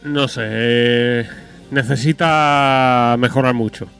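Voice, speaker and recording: A man's voice: medium pitch, fairly even intonation, slow, gravelly, fairly bright tone, smooth, soft background music. Blunt, skeptical man. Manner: blunt, swears, skeptical, laughs often. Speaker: podcast co-host. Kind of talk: casual gaming chat.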